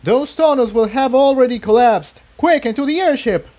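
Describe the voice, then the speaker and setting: A man's voice: very high voice, moderate pace, dry recording, pitched above the performer's own voice. A man voicing a level-headed male unicorn who reasons evenly as a peacemaker in a storybook reading. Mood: urgent. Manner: even, reasoning.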